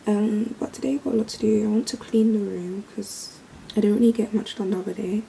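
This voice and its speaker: A young woman, chatting casually to camera at home about her day, a little croaky. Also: mid-range voice, fairly quick, slight background noise, low-quality recording. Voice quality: slightly croaky in the morning. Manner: relaxed, chatty, thinks aloud. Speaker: vlogger. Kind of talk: casual vlog chat to camera. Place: bedroom at home.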